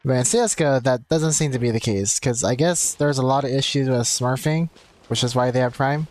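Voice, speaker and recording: A man's voice: mid-range voice, brisk, darkish tone. A young man livestreaming a game, chatting casually in a rambling, conversational way. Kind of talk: casual chat while gaming. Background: game music.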